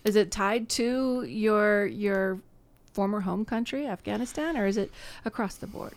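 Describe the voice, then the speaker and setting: A woman's voice: mid-range voice, a little slow. A woman hosting an interview, asking questions and giving encouraging replies. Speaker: host.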